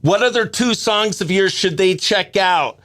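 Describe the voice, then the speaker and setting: A male radio host with a high, bright voice. He talks in a casual interview style and sounds enthusiastic as he goes. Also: a little slow.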